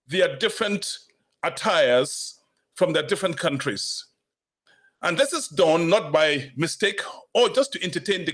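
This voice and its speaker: A man with a slightly raised pitch hosts a studio talk broadcast. He speaks in a measured, formal announcing manner. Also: fairly bright tone. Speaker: host.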